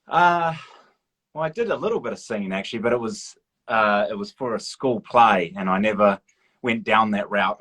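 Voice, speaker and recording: A man with a slightly low voice talks as a guest in a casual interview. He is modest and informal, hesitating as he works out what to say. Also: sharp tone, husky. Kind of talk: casual chat.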